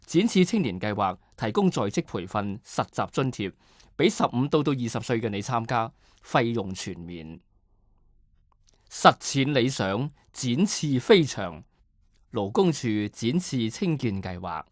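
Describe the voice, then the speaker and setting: A man's voice: mid-range voice, sing-song, a little slow, no room sound, studio quality. A man with a Hong Kong Cantonese accent reading scripted commercial narration as a voice-over artist.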